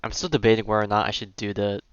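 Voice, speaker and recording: A man's voice: on the low side, steady tone, very fast, smooth. A young man chatting casually as a game streamer in his room, on a slightly dull microphone. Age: young adult. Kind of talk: casual live stream chat. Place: own room.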